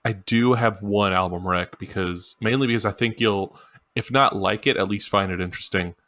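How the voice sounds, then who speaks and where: A man's voice: fairly low pitch, normal speed, a bit bright. A man co-hosting a podcast, chatting casually and informally about music. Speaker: podcast co-host.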